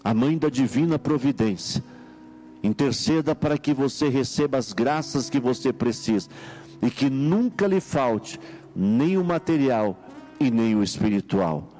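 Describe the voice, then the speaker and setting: A man hosting a late-night radio prayer line, his voice mellow and dark. He prays slowly and solemnly, drawing out each petition, and answers the caller with soft, steady affirmations. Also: mid-range voice. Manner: slow solemn praying, calm affirming murmurs.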